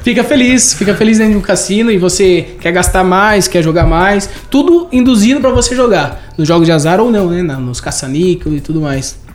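A man's voice: sits high, brisk. A man with a Brazilian accent sharing casual anecdotes as a guest in a conversation.

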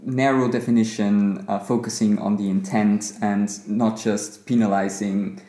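A man's voice: on the low side, little pitch movement, a little slow, mellow. A man from Austria co-hosting a law podcast, speaking in a hesitant way with many fillers. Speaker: podcast co-host, law researcher. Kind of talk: conversational podcast talk. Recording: echoey room.

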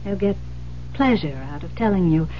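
A woman's voice: fairly low pitch, expressive, natural voice. Actress as earnest, steadying woman, muffled old radio. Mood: earnest, insistent. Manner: earnest, deliberate, reassuring. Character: Iris, composed, determined woman coaching a frightened friend. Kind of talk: old-time radio thriller acting. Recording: muffled old radio recording with noise, hum.

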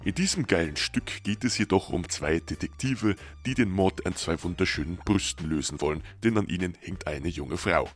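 A very deep-voiced man reads a film summary as a podcast segment, keeping a dry, deadpan delivery through absurd crude jokes. Faint music plays under his voice. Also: moderate pace, few pauses, husky. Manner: deadpan crude parody narration. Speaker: podcast co-host introducing the film. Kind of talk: scripted comic film synopsis.

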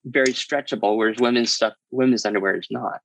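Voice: medium pitch, fast talker, creaky, fairly bright tone. An interview guest telling a personal story in a candid, explanatory, matter-of-fact way.

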